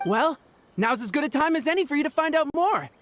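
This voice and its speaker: A woman's voice: sits high, animated, fairly quick, slight background noise. A woman voicing a devoted, eager idol fan in a video game. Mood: reverent. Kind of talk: English video game voice acting. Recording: music under the voice. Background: game music.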